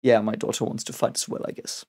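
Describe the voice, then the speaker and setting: Raspy man musing over lyrics. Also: on the low side, flat delivery, a little mellow. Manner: musing, halting, repeats words often. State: voice raspy from a cold. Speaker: reaction video host. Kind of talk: casual reaction and lyric analysis.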